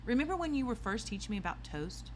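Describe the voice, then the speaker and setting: A woman reading the part of a bright, inquisitive girl sidekick in a storybook. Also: on the high side, big pitch swings, fast talker, slight background noise, pitched above the performer's own voice. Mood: inquisitive, reasoning.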